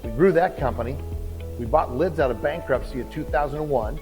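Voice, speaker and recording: Male business founder, mid-pitched, plain matter-of-fact telling, music playing underneath. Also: a little fast. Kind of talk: interview clip. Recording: clip with loud music under it.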